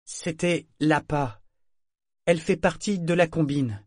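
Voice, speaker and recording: Jeune marin femme jouée par un homme, voix plus aiguë, sèche et lasse, articule avec soin. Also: male voice, fairly high pitch, moderate pace, a little mellow, no room sound, clean studio sound, pitched above the performer's own voice, close to an everyday voice. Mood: weary. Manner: careful, weary, clipped delivery. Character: young woman sailor disguised as a boy, dazed, curt.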